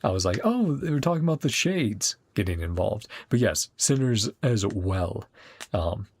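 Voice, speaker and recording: Lively streamer with a creaky voice. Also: medium pitch, normal speed. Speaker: gaming streamer reacting to a story quest. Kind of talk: casual live game reaction commentary, partly reading dialogue aloud. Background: game music.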